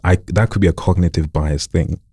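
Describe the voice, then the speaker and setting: A man's voice: sits low, fairly even intonation, brisk, warm tone, breathy edge, no room sound. A man hosting a casual studio interview, asking curious, rambling questions. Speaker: podcast host.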